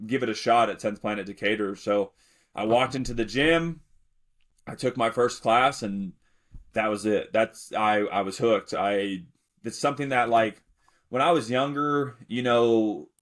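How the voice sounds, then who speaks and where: A young man from the talks with an easy, rambling flow. He often stops to restart a thought and fills the gaps with "like" and "um." His voice sits a little low and unhurried. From Alabama, United States. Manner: relaxed, rambling, many fillers and restarts. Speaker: podcast guest. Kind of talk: casual interview storytelling.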